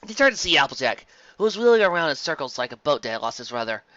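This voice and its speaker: Animated male story reader, slightly dull recording. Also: a little high, quick pace, dry recording. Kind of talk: dramatized fan fiction narration.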